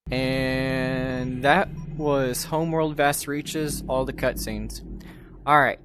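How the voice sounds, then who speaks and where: A man hosts a casual game review. He talks slowly and hesitantly in a medium-pitched voice, rambling without a script. Music plays clearly behind him. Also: steady tone. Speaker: gaming video host.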